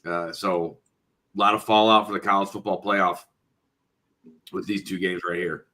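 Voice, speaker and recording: A man's voice: deep voice, fairly even intonation, normal speed, sharp tone. A congested man with a slightly nasal voice co-hosting a sports podcast, thoughtful and full of "uh" and "um". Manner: thoughtful, lots of "uh" and "um" while he works out his points. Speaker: podcast co-host. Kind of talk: casual sports podcast chat.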